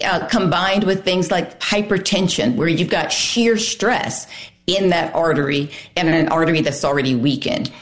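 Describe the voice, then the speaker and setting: Woman cardiology guest, notably low voice, measured explanations with small pauses. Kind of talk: explanatory interview answers.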